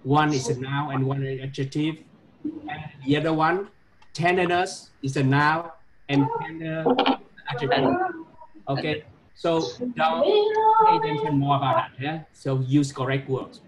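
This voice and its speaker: A man with a Vietnamese accent giving halting, repetitive classroom feedback on pronunciation, often tagging phrases with a questioning "huh?". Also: a little high, fairly even intonation, over a noisy crowd, low-quality recording. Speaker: medical English teacher.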